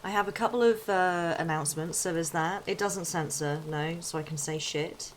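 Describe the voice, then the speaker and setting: A woman's voice: fairly low pitch, normal speed, a little hiss or hum. A woman chatting casually on a live stream, with lots of fillers and restarts, often breaking into laughter. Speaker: tarot streamer.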